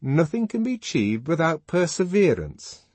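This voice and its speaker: A man's voice: on the high side, very animated, moderate pace, a little mellow, husky, no echo, voice pushed up. A man voicing an exasperated, preachy young woman in an audiobook, speaking emphatically. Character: exasperated, energetically protesting young woman, sententious and scornful. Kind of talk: audiobook character acting.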